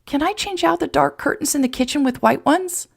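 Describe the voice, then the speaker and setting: A woman's voice: mid-range voice, expressive, moderate pace, vocal fry, voice pushed up. A woman voicing a tender, emotional, playful woman in love in an audiobook romance, with heartfelt sincerity. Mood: overjoyed.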